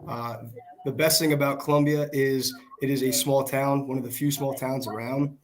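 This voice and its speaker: A young American man speaks as a guest in a casual conversation. His voice is hoarse, worn from shouting, and sits at a middle pitch. He talks informally. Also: fairly even intonation, normal speed, rough recording. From Pennsylvania, United States. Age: 20s. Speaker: guest, small business worker. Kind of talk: casual chat. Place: video call.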